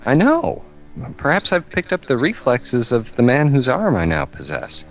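A man playing a tormented, gloomy doctor losing his mind, with theatrical, halting delivery in an amateur radio play. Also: medium pitch, huge pitch swings, faint music behind. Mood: gloomy, courteous. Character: tormented, gloomy doctor going mad, haunted by voices.

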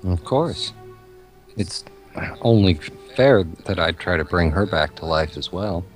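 A man's voice: deep voice, lively intonation, slow, soft background music. A man playing a grieving, obsessed and sinister reborn doctor in an amateur horror radio play, solemn and theatrical. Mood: calm, sinister resolve.

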